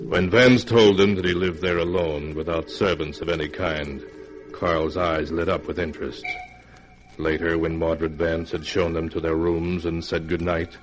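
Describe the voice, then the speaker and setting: An actor narrating a 1940s radio horror drama as an ominous storyteller, solemn, measured and theatrical. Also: male voice, deep voice, steady tone, low-quality recording. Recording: 1940s radio recording, music behind.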